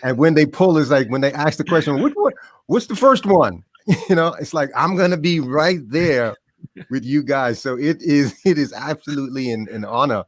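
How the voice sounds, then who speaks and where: A middle-aged man from the Bahamas, a podcast guest telling stories about growing up in a lively, casual way. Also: a little high, normal speed, no echo. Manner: animated, laughs often, trails off into quieter asides. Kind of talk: casual storytelling.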